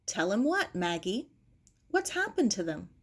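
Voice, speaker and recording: A woman's voice: mid-range voice, very animated, unhurried, slightly rough, full character voice. A woman voicing an urgent, determined young English girl in a storybook reading. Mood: worried. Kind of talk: acted children's audiobook dialogue.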